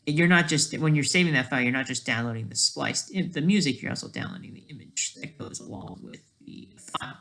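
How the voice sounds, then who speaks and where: Creaky gravelly voice, medium pitch, casual video commentary, rambling, digressive, thinking aloud. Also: brisk, hardly any pauses, sharp tone. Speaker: video creator.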